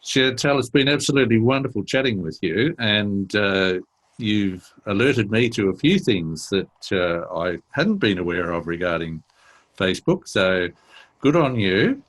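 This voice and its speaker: A man hosts a business podcast interview. His voice is low and a bit husky, and he keeps a friendly, affirming tone with his guest. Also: animated, a little slow. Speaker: podcast host.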